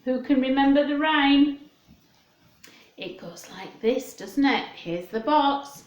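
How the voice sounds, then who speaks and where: Lady doing storytime for little kids, really slow, sing-song, echoey room at home. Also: sits high, lively intonation. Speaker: children's storyteller and host. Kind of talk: storytime chat and read-aloud for young children. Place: indoors at home.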